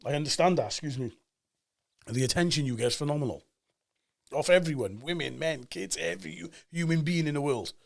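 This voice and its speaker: Fast-talking Cardiff man in his forties. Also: a little high, vocal fry. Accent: Cardiff Welsh. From Cardiff, Wales. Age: 40s. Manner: animated storyteller, fast and emphatic, acts out quoted voices. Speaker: actor and podcast guest. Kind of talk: casual podcast chat.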